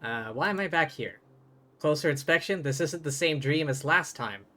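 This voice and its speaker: A man's voice: a little high, expressive, normal speed, bright, forceful tone. A man streaming a video game, giving casual live commentary, musing aloud in a jokey way.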